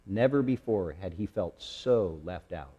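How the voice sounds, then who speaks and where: A man reading a children's story aloud in a slow, dramatic storytelling style. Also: deep voice, expressive, mellow, husky, dull audio. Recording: echoey hall with long reverb.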